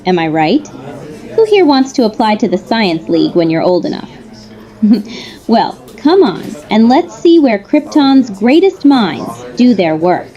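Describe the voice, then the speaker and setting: A woman's voice: a little high, very animated, a little slow, dark tone, lo-fi sound, faint music behind. A woman playing an officious tour guide addressing schoolchildren in a theatrical motion comic. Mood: officious, falsely cheery.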